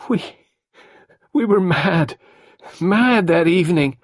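Man doing a young woman, high and pleading, faltering, distraught, lively swings, gravelly edge. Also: measured pace, no room sound, clean studio sound, full character voice.

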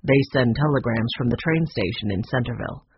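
Elderly storybook grandfather, acted by a narrator, low voice, dignified, kindly, measured. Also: slightly rough, muffled recording. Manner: slow, measured, gentle.

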